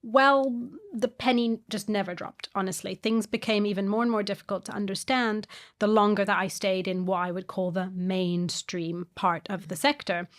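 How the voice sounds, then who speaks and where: A woman raised in South Africa and Brazil co-hosting a podcast, guiding the conversation in an articulate, expressive way. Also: mid-range voice, normal speed. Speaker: podcast co-host.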